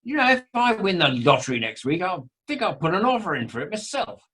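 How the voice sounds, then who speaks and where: A man acting a hearty, boastful, flirtatious British estate agent in an amateur radio play, with a husky voice and blustering manner. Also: high-pitched, sing-song, fairly quick, bright, forceful tone, full character voice. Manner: blustering, plummy old-chap heartiness. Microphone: video call.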